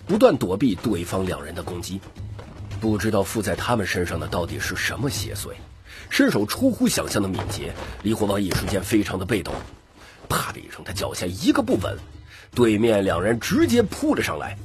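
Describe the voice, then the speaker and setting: A man reads dramatic fiction as an audiobook narrator. His voice sits somewhat low but moves with lively intonation. Faint music plays underneath.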